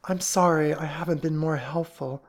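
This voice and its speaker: A man's voice: a little high, steady tone, moderate pace, lightly acted. A man voicing an earnest, easily flustered young woman assistant in an audiobook, hesitant, polite and apologetic.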